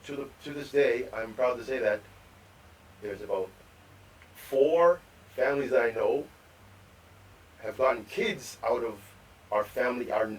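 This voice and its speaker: A man co-hosting a live podcast, telling rambling stories about growing up, with repeated words and animated quotes. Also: medium pitch, unhurried, long pauses. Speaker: podcast co-host. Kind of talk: storytelling in casual chat. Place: live podcast recording venue. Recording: distant mic with faint room ambience.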